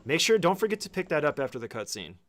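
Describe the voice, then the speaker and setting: A man's voice: on the high side, sing-song, quick pace. A man giving an informal, step-by-step speedrun tutorial as casual gameplay commentary, swearing freely.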